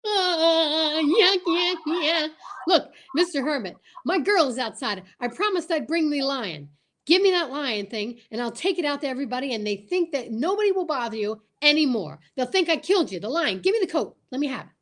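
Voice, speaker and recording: Woman playing cowardly wisecracking comic man, high and animated. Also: moderate pace. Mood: wheedling. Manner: hammy punchline delivery with stammering. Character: Costello, cowardly wisecracking comic man sent lion hunting. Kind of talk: amateur old-time radio comedy acting.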